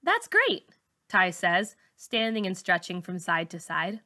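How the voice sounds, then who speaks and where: A woman's voice: on the low side, steady tone, unhurried, pushed and bright. A woman teacher reading a first-person children's novel aloud for students, as narration.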